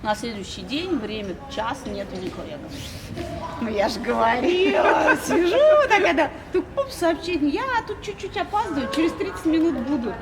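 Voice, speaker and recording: A woman in her thirties from Russia, telling her story as an interview guest in an animated way and laughing often. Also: sits high, normal speed. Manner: animated, laughs often, quotes people in a raised voice. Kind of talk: casual storytelling. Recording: loud crowd noise behind.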